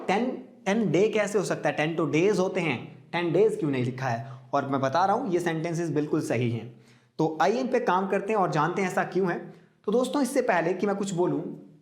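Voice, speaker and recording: Man teaching grammar in an echoey room. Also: a little high. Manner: explains in Hindi, reads example sentences slowly and deliberately. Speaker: English teacher. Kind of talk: classroom grammar lesson. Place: classroom with a board.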